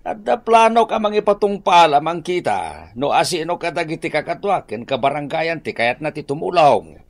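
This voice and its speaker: A man's voice: fairly high pitch, normal speed. A man with an Ilocano Filipino accent acting a cheerful, exclaiming village captain in a radio drama. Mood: explaining. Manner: animated, exclaiming, chuckling. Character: cheerful male village captain praising helpful residents.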